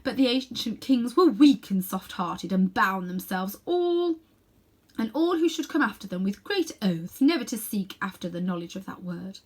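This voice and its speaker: A woman's voice: mid-range voice, big pitch swings, moderate pace, breathy edge. A woman reading a children's storybook, voicing a proud, ruthless sorceress queen with haughty, emphatic, declamatory delivery. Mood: indignant, self-justifying.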